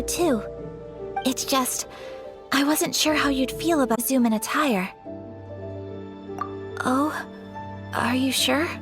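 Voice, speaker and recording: Young woman, fairly high voice, polite and formal, gentle refined delivery, soft game music behind. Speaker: noble lady character. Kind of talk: video game voice acting. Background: faint background music.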